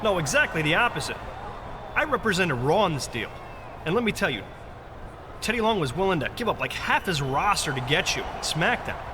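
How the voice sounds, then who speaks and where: High-voiced glib wrestling executive. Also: male voice, big pitch swings, a little fast, background noise. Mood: upbeat, persuasive. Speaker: wrestling brand representative. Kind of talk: video game cutscene dialogue.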